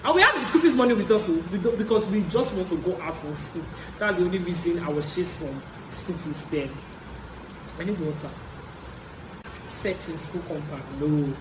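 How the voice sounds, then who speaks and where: A young adult man with a Nigerian accent tells stories casually, in an animated way, repeating phrases for emphasis as a vlogger. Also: high-pitched, normal speed. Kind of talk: casual story-time chat. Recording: roomy echo, clear background noise.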